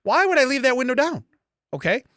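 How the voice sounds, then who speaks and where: A man's voice: very high voice, big pitch swings, fairly quick, a bit bright, no room sound, studio quality. A man telling funny everyday stories in casual banter, mock-indignant and acting out what people said. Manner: jokey, mock-indignant, acts out quotes. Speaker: podcast co-host. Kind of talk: casual comic storytelling and banter.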